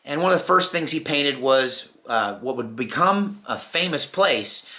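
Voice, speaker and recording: A middle-aged American man telling personal stories informally, in a folksy, reflective manner with unhurried pauses. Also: medium pitch, lively intonation, bright, forceful tone. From Texas, United States. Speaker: storyteller, channel host.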